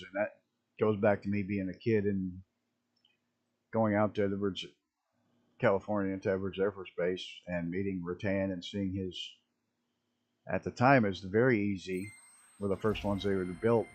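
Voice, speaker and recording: A man with a rough early-morning voice, chatting casually and rambling as he streams a flight simulator. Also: fairly low pitch, fairly even intonation, moderate pace, long pauses, darkish tone. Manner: rambling, self-interrupting, chuckling at mishaps. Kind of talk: casual live-stream commentary.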